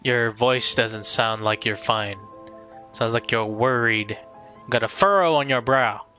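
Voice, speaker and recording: A man streams a game with casual, wandering commentary. His voice is bright and forceful at a middle pitch, over faint background music. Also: unhurried.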